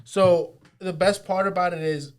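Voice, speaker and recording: A young man talks fast and emphatically, retelling stories with energy. His voice sits somewhat high for a man. He sounds like a casual interview guest. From Brentwood, New York. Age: young adult. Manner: animated, emphatic, storytelling. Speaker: real estate broker, guest.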